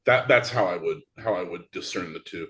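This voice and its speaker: Lower-voiced man explaining his show. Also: fairly quick, fairly bright tone. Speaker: interview guest, theatre show creator. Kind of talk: casual interview explanation.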